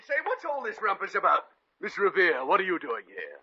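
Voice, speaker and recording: Officious hotel manager, wildly swooping pitch. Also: male voice, huge pitch swings, brisk, sharp tone, husky, full character voice. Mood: stern. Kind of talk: 1950s radio comedy acting. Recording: dull old radio broadcast recording.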